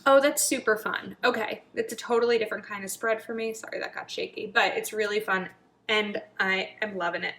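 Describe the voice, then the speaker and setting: A woman chatting casually while decorating her planner, thinking aloud and sometimes dropping to a murmur, her throat a little raspy from a long filming session. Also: medium pitch, steady tone, a little fast, a bit bright. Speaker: planner vlogger. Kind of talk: casual planning video chat.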